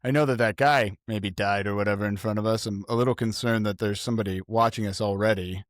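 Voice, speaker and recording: A man's voice: lowish voice, fairly even intonation, quick pace, no room sound, clean studio sound. A man playing a tabletop roleplaying game, talking through plans in casual improvised chat.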